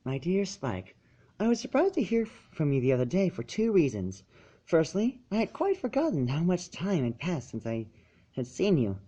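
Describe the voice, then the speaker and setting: A man voicing an ancient, kindly pony princess who speaks in a formal, measured and gently encouraging way, as in a storybook. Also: fairly high pitch, wildly swooping pitch, slightly rough, dull audio, exaggerated voice. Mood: gentle, encouraging.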